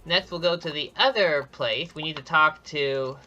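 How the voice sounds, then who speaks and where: A man's voice: on the high side, normal speed, highs cut off. A man commentating his own speedrun live. He explains each step casually, often restarting sentences, with light chuckles. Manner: explanatory, frequent restarts and self-corrections. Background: game music.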